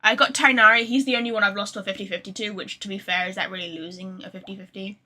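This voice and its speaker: A woman's voice: mid-range voice, steady tone, fast, bright, forceful tone. A woman livestreaming a video game, chatting casually to viewers in a rambling, informal, sweary way.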